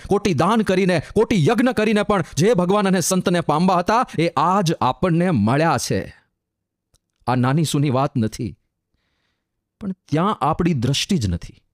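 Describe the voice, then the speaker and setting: A male monk delivers a devotional sermon in a fairly high voice. He draws out sung verses slowly, then explains them with building, repeated phrases. The sound is close and dry. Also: no echo. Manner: chants verses slowly, then explains with rising emphatic repetition.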